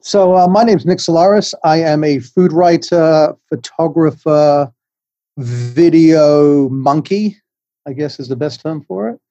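A man's voice: fairly high pitch, measured pace, warm tone, no room sound, muffled recording. A middle-aged man from England with an English accent, a food writer talking as a podcast guest in a rambling, self-deprecating way with many fillers. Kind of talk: remote podcast interview.

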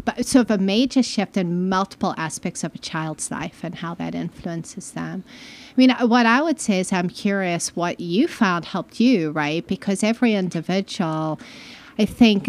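A woman's voice: on the low side, slight background noise. A woman from Malawi, a university dean, explaining her ideas as a guest in a conversational interview and often checking in with "right?".

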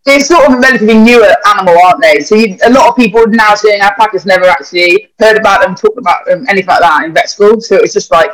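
A man's voice: sits high, fast talker, hardly any pauses, a bit bright, low-quality recording. A young man, a vet, chatting casually on a podcast and rambling through sweary anecdotes full of fillers.

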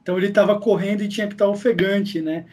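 A man's voice: high-pitched, fairly even intonation, fast, noisy background. A Brazilian man chatting casually as a guest in a podcast interview. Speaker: podcast guest, audio drama maker.

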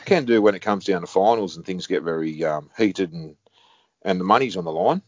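Deep-voiced blunt referee man. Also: brisk, dry recording, lo-fi sound. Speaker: head referee. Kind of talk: casual conversation.

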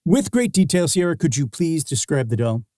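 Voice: mid-range voice, very animated, normal speed, dark tone, dry recording, clean studio sound. A co-host in a comedic audio sketch, talking in a greedy, scheming way with hesitant fillers.

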